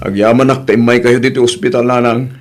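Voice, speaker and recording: A man's voice: mid-range voice, level tone, fairly quick, loud music behind the voice, close to an everyday voice. A man acting a weary, earnest husband and father in an audio drama, with an Ilocano accent and a plaintive way of talking. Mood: worried, grateful.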